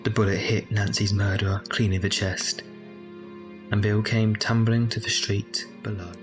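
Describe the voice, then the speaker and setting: A grown man narrates his own past in an audio drama played by a male actor. His voice is low and measured, with a reflective tone. Soft music runs faintly behind. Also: level tone, close to an everyday voice. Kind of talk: dramatised first-person narration.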